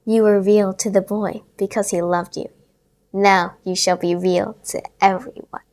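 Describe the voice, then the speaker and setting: A woman voicing a gentle nursery fairy who speaks softly and kindly, with the last words of her phrases echoed. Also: mid-range voice, flat delivery, unhurried. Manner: soft and kind, with echoed repeated words. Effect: echo on repeated word endings.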